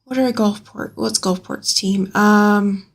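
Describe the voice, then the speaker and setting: A woman's voice: mid-range voice, fairly even intonation, slow pace, creaky. A woman from Alabama, chatting casually on a live stream from her home sewing room as she rambles and answers viewers' comments aloud.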